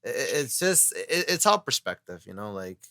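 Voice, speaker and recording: A man's voice: fairly low pitch, sing-song, moderate pace, gravelly, background noise. A man co-hosting a casual podcast chat, talking earnestly and rambling with lots of filler phrases. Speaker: podcast co-host and voice actor.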